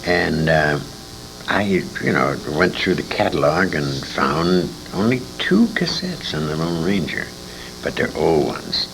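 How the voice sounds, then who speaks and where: A man's voice: low-pitched, big pitch swings, unhurried, gravelly. An older man, a former radio actor, reminiscing as an interview guest in a rambling, self-correcting way on a noisy amateur recording.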